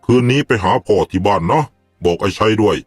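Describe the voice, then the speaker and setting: A man voicing a firm, deliberate older village father who calmly leads spirit rituals in an animated ghost story. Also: on the low side, no room sound, soft background music, pitched below the performer's own voice, lightly acted. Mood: firm, warning.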